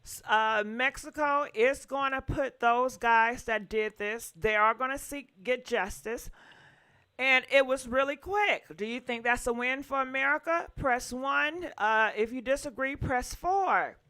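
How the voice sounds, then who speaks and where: A woman's voice: high-pitched, unhurried, pushed and bright, clean tone. A woman hosting a casual call-in show, chatty with many fillers as she prompts her callers. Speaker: host.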